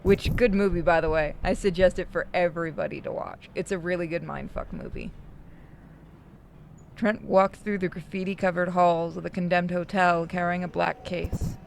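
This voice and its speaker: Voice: medium pitch, steady tone, normal speed, gravelly, noisy background. A streamer narrating a horror visual novel aloud and breaking off for casual asides.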